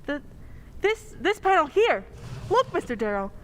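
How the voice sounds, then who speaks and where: A woman's voice: sits high, big pitch swings, unhurried, fairly bright tone, full character voice. An actress playing an earnest, anxious young lady sleuth in an old-time radio melodrama. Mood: eager.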